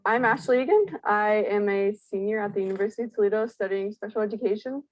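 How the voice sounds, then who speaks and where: A woman's voice: medium pitch, steady tone, quick pace, darkish tone, dry recording, rough recording. A woman in her twenties from Ohio, a camp counselor giving a casual, sincere testimonial. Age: 20s. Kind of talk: testimonial interview.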